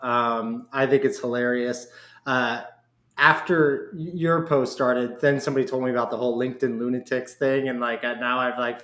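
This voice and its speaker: Male podcast host, conversational and a bit halting, informal phrasing, mid-pitched voice in a roomy, echoey space. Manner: informal, halting, rephrases as he goes. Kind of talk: casual conversational interview.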